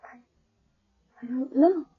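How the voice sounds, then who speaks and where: An actress playing a timid, bewildered young woman with amnesia in a 1970s radio drama, speaking in a halting, hesitant way. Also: natural voice. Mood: confused, anxious. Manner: hesitant, halting, repeating words. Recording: dull lo-fi old radio broadcast.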